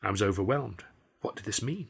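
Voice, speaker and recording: A man reading his own comic novel aloud as a first-person storyteller, in animated audiobook narration. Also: fairly low pitch, brisk, creaky, slightly rough.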